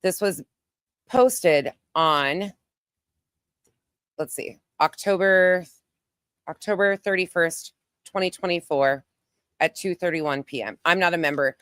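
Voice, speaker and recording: Give a low-pitched woman's voice recorded close and dry. She speaks as an online creator doing off-the-cuff live commentary, repeating phrases for emphasis and narrating her own steps as she goes. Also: slow pace, pauses often, fairly bright tone, clean tone, dry recording. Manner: narrates own actions while browsing. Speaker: YouTube creator, host. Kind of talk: casual live commentary, screen share.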